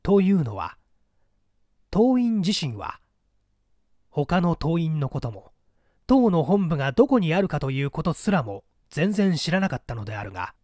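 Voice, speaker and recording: A man reading literary fiction as a dramatic audiobook narrator, with a grave, deliberate storytelling manner. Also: fairly high pitch, very animated, dark tone, no room sound, clean studio sound. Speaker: audiobook reader, stage actor.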